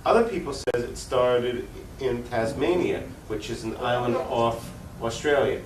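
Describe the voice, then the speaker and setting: A man's voice: medium pitch, unhurried. An American man gives explanatory interview answers as a political activist. His mid-range voice is earnest and didactic, leaning on repetition for emphasis. He sounds set back from the microphone in an echoey room with faint noise. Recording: roomy, distant mic, faint noise.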